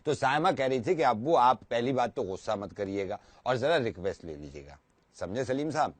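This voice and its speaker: A man's voice: mid-range voice, lively intonation, brisk, dry recording, close to an everyday voice. A man playing a short-tempered army colonel father, formal and courteous, in a radio prank call. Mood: stern, proud.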